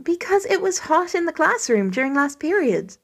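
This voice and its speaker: A woman's voice: very high voice, sing-song, moderate pace, fairly bright tone, pitched above the performer's own voice, full character voice. A woman voicing a cheery, stubborn teenage boy with an eager, informal way of talking, in a story reading. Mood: sulky, defensive. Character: cheery, stubborn sixteen-year-old boy doting on baby sister.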